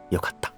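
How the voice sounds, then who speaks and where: A man acting a tall young lover in a Japanese voice drama, speaking casually, softly and intimately, devoted and a little pushy. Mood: earnest, happy. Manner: casual masculine speech, soft and intimate.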